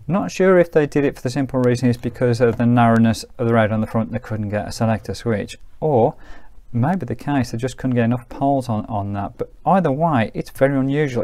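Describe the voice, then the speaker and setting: A man's voice: mid-range voice, darkish tone. Man giving a chatty, rambling review. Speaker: presenter of a vintage radio review. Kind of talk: informal hands-on review commentary.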